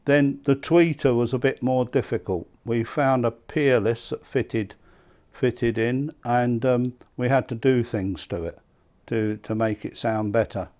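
A man in his seventies with an English accent, reminiscing conversationally in an interview, halting and repeating words. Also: mid-range voice, steady tone, darkish tone. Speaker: loudspeaker maker being interviewed. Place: small indoor hi-fi demonstration room. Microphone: lapel mic.

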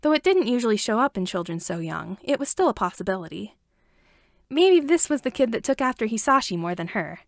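Woman reading a story out loud, kinda high voice, really up and down, dry sound. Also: very animated, fairly quick, no room sound. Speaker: amateur audiobook narrator. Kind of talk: scripted story narration.

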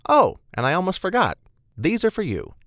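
A man's voice: medium pitch, huge pitch swings, no echo, studio quality, voice pushed up. A man voicing a chatty, motherly woman who bustles and welcomes people in an audiobook. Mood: bustling, welcoming. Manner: talkative, welcoming, brisk. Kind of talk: audiobook character dialogue.

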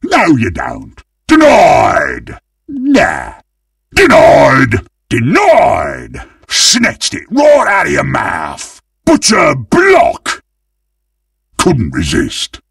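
Monstrous undead butcher, British accent, video game, gravelly, slow, greedy relish, theatrical menace. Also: male voice, mid-range voice, wildly swooping pitch, a bit bright, no room sound, raised voice, clean studio sound, recorded from a distance, full character voice. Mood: excited, triumphant. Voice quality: gravelly, husky. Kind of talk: video game character voice lines.

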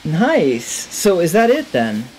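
Guy in his 30s doing a chill let's play, takes his time, pitch moves around a lot, faint game noise behind. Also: huge pitch swings, slow, darkish tone, low-quality recording. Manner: enthusiastic, musing to himself. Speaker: gaming video host. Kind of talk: casual let's play commentary. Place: indoor room at a desk.

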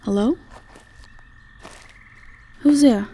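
A woman acts a sweet Southern belle in a fantasy audio drama. She has a Southern US accent and takes her time, with creaky edges to a gentle, folksy, hesitant delivery. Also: fairly high pitch, extremely slow, heavily acted. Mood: puzzled, worried, then frightened. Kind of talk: fantasy audio roleplay acting.

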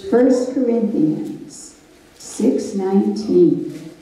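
An older woman teaches a church Bible study with a folksy, conversational touch. Her voice is very dark and mellow, heard from a distance through a handheld microphone. The big hall around her echoes strongly. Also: medium pitch, expressive, very slow, gravelly. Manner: folksy, conversational, laughs at her own stories. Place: church hall stage with projector screen.

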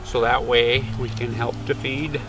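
A man in his thirties explaining things casually and haltingly, like a farmer talking to camera out in an open field. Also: mid-range voice, level tone, music in the background. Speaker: farmer and vlogger. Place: outdoors in an open farm field.

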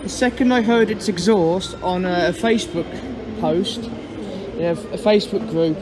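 A young man from England answering viewer questions in a casual vlog, talking in halting, restarting phrases. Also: high voice. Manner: halting, restarts phrases, enthusiastic about trains. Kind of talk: casual Q&A narration. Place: on a train and at stations. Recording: loud train and vehicle noise behind.

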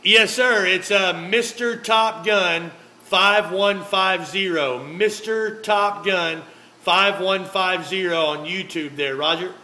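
A man's voice: high-pitched, slow pace, sharp tone. A man from the United States, chatting casually and folksily over two-way radio with repeated phrases and jargon. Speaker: amateur radio operator. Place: indoor radio desk, filmed up close. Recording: noisy room beside radio set.

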